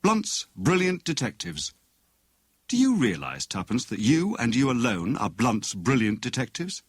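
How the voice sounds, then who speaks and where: A man's voice: mid-range voice, huge pitch swings, a little slow, breathy edge, no room sound, studio quality, voice pushed up, exaggerated voice. A man voicing a jovial, pompous husband who plays amateur detective in a teasing, mock-grand manner for an audiobook.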